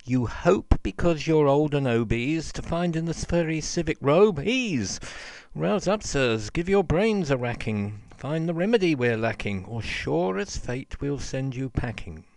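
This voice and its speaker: A man's voice: a little high, expressive, a little slow, creaky, darkish tone, dry recording. Man as indignant, scolding storybook townsfolk. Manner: scolding, emphatic. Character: indignant angry townspeople scolding their useless leaders.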